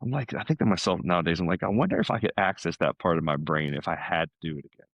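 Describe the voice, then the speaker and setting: Casting: American man, middle-aged, low register, loose chatty storyteller, dry sound. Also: expressive, racing, dry recording, highs cut off. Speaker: podcast guest, salesman. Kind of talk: casual chat.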